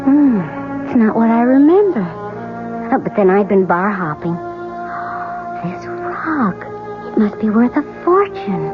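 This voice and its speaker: An actress playing a breezy but cautious friend in a radio drama, chatty and wry with a wavering way of talking. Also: female voice, fairly high pitch, big pitch swings, unhurried, long pauses, narrow, tinny audio, over music. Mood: wry, casual. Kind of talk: 1970s radio drama acting.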